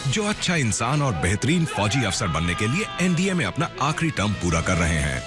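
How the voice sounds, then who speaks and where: A man's voice: fairly low pitch, big pitch swings, moderate pace. A man reading scripted documentary narration as the narrator. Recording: loud music under the voice. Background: loud background music.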